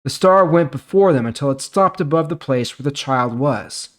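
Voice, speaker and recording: A man's voice: fairly high pitch, expressive, normal speed. A man hosting a Bible reading series, moving between reading scripture and explaining it in an informal, emphatic way. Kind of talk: scripture reading with casual commentary.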